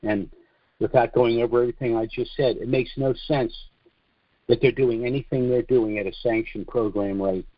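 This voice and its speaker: An American man giving a monologue briefing as host of a conference call, speaking deliberately and haltingly, with emphatic drawn-out phrases. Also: medium pitch, no room sound. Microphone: conference call line. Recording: dull, lo-fi conference call audio.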